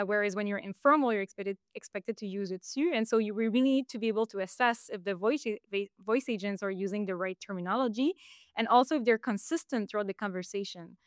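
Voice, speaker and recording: Quebec French woman explaining, smooth voice. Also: mid-range voice, fairly quick, no room sound, clean studio sound. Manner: explanatory with frequent fillers and restarts. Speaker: guest. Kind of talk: casual interview.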